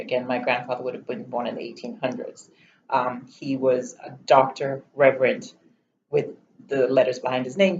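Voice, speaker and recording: A middle-aged woman from Jamaica with a Jamaican accent, recounting her life story in an interview in a measured, candid way. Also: a bass voice, darkish tone. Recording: slightly muffled, faint room noise.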